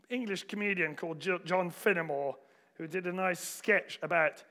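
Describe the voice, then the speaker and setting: A man preaches in a fairly high, bright voice with noticeable creak. His phrasing is deliberate and rhetorical, moving between argument and illustrative anecdote.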